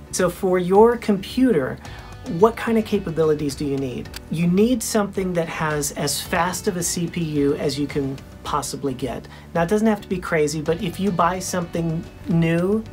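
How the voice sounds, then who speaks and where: A young man with a high voice, hosting a casual vlog from his small home studio, talking earnestly with many ums and restarts. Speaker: independent musician and channel host.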